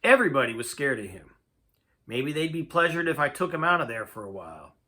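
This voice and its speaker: Lively, bright-voiced man reading a story. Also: medium pitch, brisk. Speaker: amateur story reader. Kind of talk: storybook read-aloud narration.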